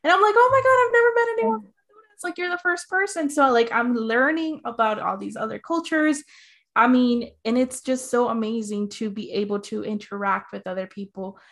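A woman's voice: a little high, animated, a little fast, fairly bright tone. A woman from Arizona hosting a casual interview, talking with eager, gushing enthusiasm. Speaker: podcast host.

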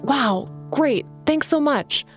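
A woman's voice: high-pitched, lively intonation, takes it slowly, music in the background, pitched above the performer's own voice. A woman reading an audiobook, voicing an eager, earnest young woman writer who pleads and bristles. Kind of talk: audiobook character voice.

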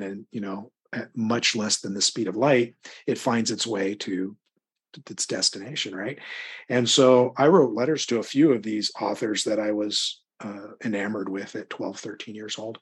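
A man's voice: lowish voice, husky. A middle-aged man, an author being interviewed, talking conversationally and telling anecdotes in a rambling way, often repeating words mid-sentence. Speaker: crime novelist being interviewed.

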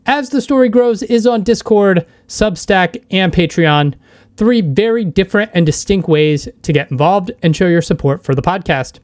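A man's voice: high-pitched, animated, normal speed, darkish tone, no room sound, clean studio sound. A man with an American accent, speaking as a podcast host and interviewer. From United States. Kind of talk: podcast narration and casual interview.

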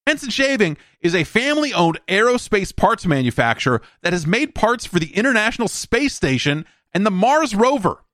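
A man's voice: high-pitched, big pitch swings, moderate pace, few pauses, fairly bright tone, no room sound, studio quality. A man hosting a solo podcast, talking in a rambling, informal, wry way, moving between casual commentary and ad reads. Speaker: podcast host. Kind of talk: casual solo podcast talk and host-read ad.

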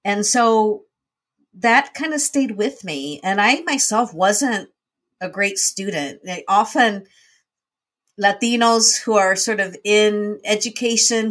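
A woman's voice: mid-range voice, a bit bright. A woman guest in a conversational interview, telling her life story in an earnest, reflective way. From United States. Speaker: guest, nonprofit founder and CEO.

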